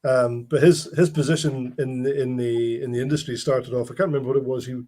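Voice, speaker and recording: Male host, middle pitch, chatty, rambling, lots of restarts. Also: fairly even intonation, fairly quick, mellow. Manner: rambling anecdotes with frequent restarts. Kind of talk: casual chat.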